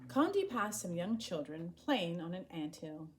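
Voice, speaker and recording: A woman reading a children's story aloud in an expressive, animated way, acting out the dialogue. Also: lowish voice, unhurried. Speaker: storybook reader.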